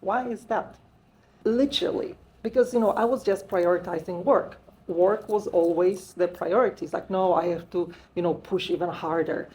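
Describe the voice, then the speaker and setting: A woman's voice: on the low side, normal speed, warm tone, poor audio. A Polish-accented woman in her forties, a wellbeing consultant talking at length in an interview, with frequent fillers and an earnest tone. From Poland. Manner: talkative, many "uh" fillers, earnest. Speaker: guest, wellbeing strategist. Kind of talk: interview, personal story.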